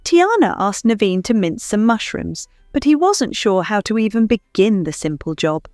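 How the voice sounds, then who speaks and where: A woman reading a scripted children's story as narrator, in an expressive storytelling manner. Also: medium pitch, moderate pace, no echo, clean studio sound. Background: faint music.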